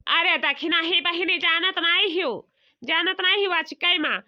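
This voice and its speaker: Cartoon village elder sister female performer: bossy, fretful, very high voice, Awadhi accent, muffled lo-fi sound. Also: a little slow. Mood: fretful, chatty. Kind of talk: comedy cartoon dialogue.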